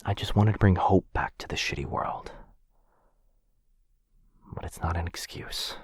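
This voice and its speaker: A man playing an apologetic, protective vampire lord in an intimate boyfriend ASMR roleplay, with a breathy, husky voice that often drops to a whisper and a hesitant, tender delivery. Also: low voice, measured pace, dry recording, studio quality, exaggerated voice. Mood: remorseful, earnest. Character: apologetic, protective male vampire lord, soft-spoken and earnest.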